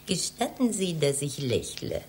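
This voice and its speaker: A woman's voice: sits low, warm tone, exaggerated voice. An actress in a radio play playing a seductive, mocking woman who teases coquettishly in a library. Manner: slow, teasing, coquettish.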